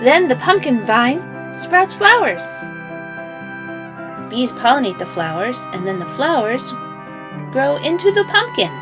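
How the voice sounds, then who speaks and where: A woman's voice: high voice, sing-song, a little slow, pauses often, music in the background. A woman narrating a children's puppet show, explaining things step by step.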